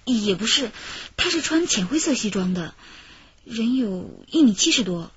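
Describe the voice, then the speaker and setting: An actress playing an anxious, hesitant kidnap victim in a radio drama. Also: female voice, fairly high pitch, very animated, normal speed, fairly bright tone. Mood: subdued, unsure. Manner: hesitant, stammering. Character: frightened female kidnap victim, anxious and pleading. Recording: dull, lo-fi, noisy radio drama track.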